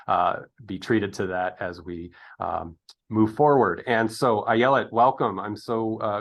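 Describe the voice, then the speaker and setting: A man hosting an interview show in a conversational style, with halting, rambling delivery and chatty asides. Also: on the low side, a bit bright.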